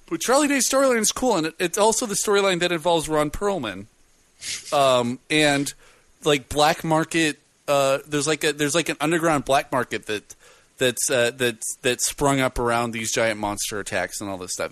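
A man in casual chat, reviewing a movie with a loud voice, rambling and full of fillers. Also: medium pitch, dry recording. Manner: rambling, animated storyteller with many fillers. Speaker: podcast co-host reviewing a film.

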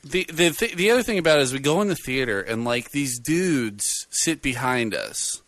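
A man in casual chat, reviewing a movie with a loud voice, rambling and full of fillers. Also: mid-range voice, normal speed, no room sound. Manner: rambling, animated storyteller with many fillers. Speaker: podcast co-host reviewing a film.

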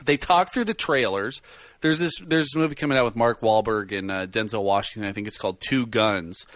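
Loud, rambling man with a dry sound. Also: lowish voice, fairly quick, dry recording. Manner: rambling, animated storyteller with many fillers. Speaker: podcast co-host reviewing a film. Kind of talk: casual chat.

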